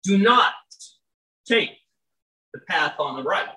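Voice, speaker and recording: A poet with a naturally loud, bright voice, trading wry, chatty asides before slowing into measured lines of their own verse. The sound is roomy and a little distant, picked up in an indoor venue where the speaker drifts off the stage mic. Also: medium pitch, animated. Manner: wry, chatty between poems, slow and measured when reading. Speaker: poet reading own work. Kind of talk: live poetry reading with casual asides. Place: indoor venue with live audience. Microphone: stage microphone, often off-mic.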